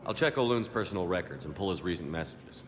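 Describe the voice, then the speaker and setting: A man playing a steady, reassuring military commander in a video game, calm and measured. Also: low-pitched, crowd noise. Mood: businesslike.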